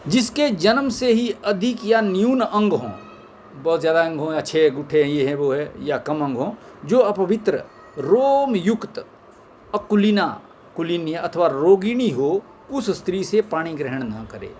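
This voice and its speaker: A man's voice: fairly high pitch, lively intonation, measured pace, darkish tone, slight background noise. A man reading scripture aloud in a formal way, phrase by phrase, in an amateur recording. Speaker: reader of scripture.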